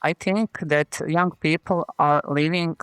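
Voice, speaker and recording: A woman answering interview questions in a plain, measured way. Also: low voice, fairly even intonation, clean tone, dry recording. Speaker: guest.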